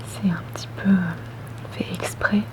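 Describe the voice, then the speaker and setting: A woman whispering softly and breathily in an ASMR roleplay as a polite, attentive boutique saleswoman, gentle and unhurried. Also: on the low side, steady tone. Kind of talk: ASMR roleplay, customer service.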